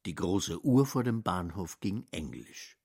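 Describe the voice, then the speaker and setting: An actor narrating a literary radio play as a storyteller. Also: male voice, deep voice, expressive, measured pace, vocal fry, darkish tone, breathy edge, dry recording, clean studio sound, full character voice.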